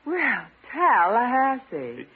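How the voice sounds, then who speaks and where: Demure schoolteacher girlfriend, high lilting voice, genteel, old radio comedy, tinny sound. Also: huge pitch swings, takes it slowly, slightly rough, heavily acted. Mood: placid. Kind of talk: classic radio comedy acting. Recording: tinny, band-limited old radio recording.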